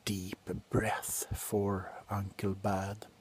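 A middle-aged man playing a grumpy, drunk doctor in an ASMR roleplay, grumbling sardonically close to the mic between soft talk and whispers. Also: lowish voice, completely flat delivery, drawn-out words, slight background noise. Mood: grumbling, sardonic. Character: grumpy, drunk male doctor on a Christmas house call. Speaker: ASMR performer. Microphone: very close to the face.

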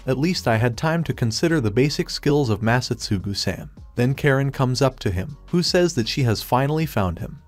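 A man narrating a manga story recap in an even, flat third-person retelling with stiff, translated-sounding phrasing. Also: medium pitch.

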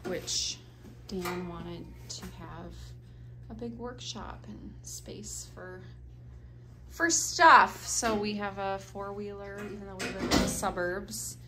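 A woman's voice: medium pitch, steady tone, slow, music in the background. A woman with a North American accent chatting casually and playfully as she films a vlog in a garage workshop, holding the camera. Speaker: vlogger filming her partner. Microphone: handheld camera, held by speaker. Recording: clear background noise.

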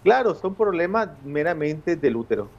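Casting: fifties Nicaraguan man, doctor explaining, fairly high voice, slightly muffled video-call sound. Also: very animated, moderate pace, gravelly. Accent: Nicaraguan Spanish. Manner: explanatory, with frequent eh fillers and restarts. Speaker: gynecologist guest. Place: home office with bookshelves. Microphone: video call from home.

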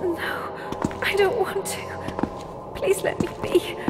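A frightened young woman pleading in a horror game. Her voice is extremely high, fragile and half whispered. She speaks slowly. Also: fairly even intonation. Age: young adult. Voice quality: breathy, fragile. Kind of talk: dramatic horror game acting.

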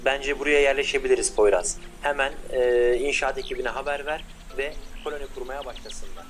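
A man's voice: medium pitch, fairly quick, natural voice. A man as a confident lead scientist. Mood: commanding. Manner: bossy but warm, giving orders. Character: confident male lead scientist, the older brother.

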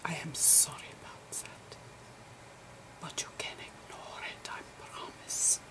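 A woman voicing a gentle, eccentric old male physicist, lecturing haltingly in a murmured ASMR role-play. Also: lightly acted. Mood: patient, explaining. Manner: halting, whispery, murmured explanations with quaint formal phrasing.

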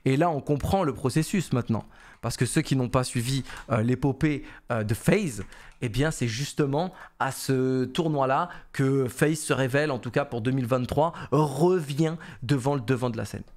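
A man, casually commentating on esports and paraphrasing an interview in an animated, colloquial, repetitive way into a close studio mic. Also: medium pitch, moderate pace. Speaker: esports commentator and content creator. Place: small home studio room indoors. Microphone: close boom-arm studio microphone.

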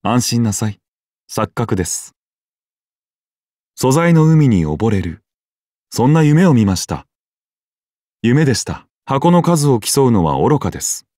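A man voicing a serene, whimsical golden sheep deity in a game, with polite, solemn deadpan delivery. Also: mid-range voice, unhurried, long pauses, no room sound, clean studio sound. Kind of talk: scripted game character voice lines.

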